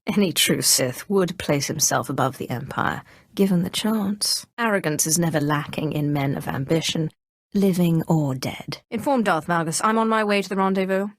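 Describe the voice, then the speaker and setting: Casting: haughty female dark lord for a video game, controlled menace, commanding delivery, close dry sound. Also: fairly low pitch, moderate pace, no echo. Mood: haughty, assured. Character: imperious, coolly menacing female Sith sorceress of rank. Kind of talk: scripted video game voice acting.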